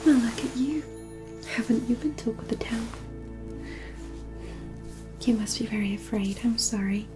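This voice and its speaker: A kindly, attentive fairy, played by a woman murmurs soothingly in a breathy near-whisper. The voice is a little high and very unhurried, gently playful, with soft music behind it in a fantasy ASMR roleplay. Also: natural voice. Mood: soothing concern.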